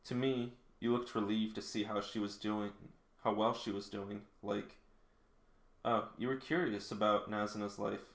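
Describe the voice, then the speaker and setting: A man's voice: on the low side, fairly even intonation, moderate pace, close to an everyday voice. A man reading the part of an earnest, self-conscious, lovestruck teenage boy from a manga. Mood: earnest, probing. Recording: roomy, echoing indoor sound.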